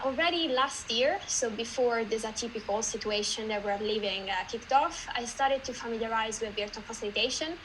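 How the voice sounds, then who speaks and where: A woman from Italy with an Italian accent, giving an online meetup presentation in a lively, chatty way with many "uh" fillers. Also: fairly high pitch, a little hiss or hum, low-quality recording.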